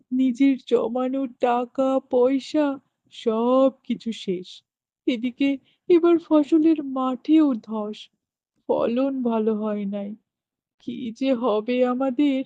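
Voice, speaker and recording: A woman's voice: high voice, warm tone, no echo. A woman voicing a poor, worried widowed farmer father who pleads for help in a cartoon. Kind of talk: cartoon voice acting. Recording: dull lo-fi dry dubbing.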